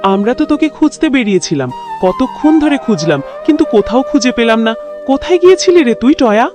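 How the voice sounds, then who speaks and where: A woman's voice: a little high, big pitch swings, fairly quick. A woman voicing a caring but nagging, exasperated village mother in a cartoon. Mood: worried, tender. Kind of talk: cartoon voice acting. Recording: music behind the voice.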